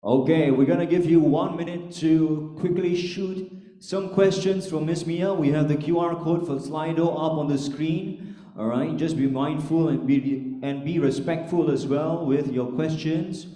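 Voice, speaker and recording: Mellow male host in a reverberant hall. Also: a little high, steady tone, unhurried. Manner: filler-heavy, audience-addressing. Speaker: host, moderator. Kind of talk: hosting a virtual event Q&A. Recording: roomy hall with long reverb.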